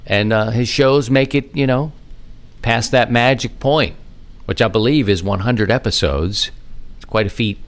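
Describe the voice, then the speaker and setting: A middle-aged man hosting a hobby podcast alone, talking in a casual, rambling, conversational way with animated asides. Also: mid-range voice, unhurried. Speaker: amateur radio podcast host. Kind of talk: casual solo podcast monologue.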